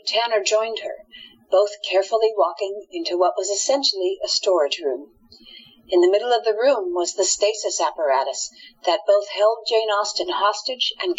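A woman's voice: mid-range voice, slightly rough, lo-fi sound. A woman reading scripted storytelling narration for an audio drama.

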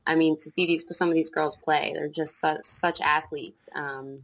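A young woman with a slight New Jersey accent talks as a guest on a podcast, pausing often with ums and restarting her sentences. Her voice sits low for a woman. The sound is dry and close, with no room behind it. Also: steady tone, no room sound, rough recording. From South Jersey, USA. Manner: hesitant, many ums and restarts. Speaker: guest lacrosse coach. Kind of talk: casual interview chat.

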